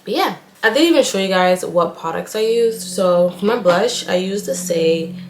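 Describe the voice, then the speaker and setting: A woman's voice: medium pitch, lively intonation, moderate pace. A young woman from Haiti, a nursing student, chatting casually while getting ready and rambling with lots of "like". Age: young adult. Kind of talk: casual get-ready-with-me chat. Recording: echoey room.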